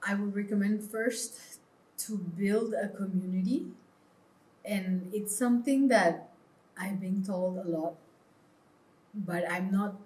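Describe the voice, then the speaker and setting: A woman's voice: mid-range voice, dark tone. A woman from Peru speaking as an interview guest, explaining her views earnestly in a conversational way. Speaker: interview guest, clothing brand founder. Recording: roomy, echoing sound.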